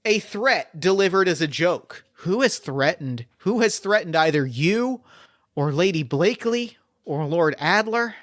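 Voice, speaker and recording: A man's voice: sits high, animated, measured pace, a bit bright. A man guides a player through a tabletop mystery game as its game master. He reasons aloud and weighs each choice in turn. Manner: thinks aloud, weighing options.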